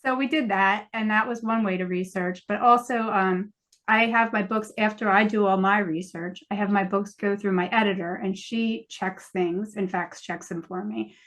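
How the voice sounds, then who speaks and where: A woman's voice: mid-range voice, steady tone, normal speed, fairly bright tone. An American woman, a novelist, talking casually as a guest on a podcast. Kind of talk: casual interview chat.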